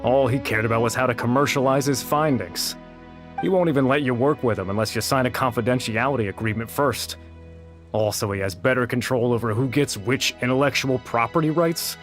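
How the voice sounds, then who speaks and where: A man acts out a disgruntled researcher in a video game. His voice is middling in pitch, with a blunt, principled and dismissive delivery. Background music runs underneath. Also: brisk, natural voice. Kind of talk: video game character acting.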